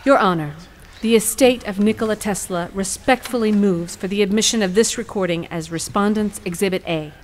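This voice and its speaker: A woman playing a determined attorney in an audio courtroom drama, speaking formally and deliberately. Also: medium pitch, slight background noise, close to an everyday voice. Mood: formal, assured. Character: poised, determined female attorney arguing in court.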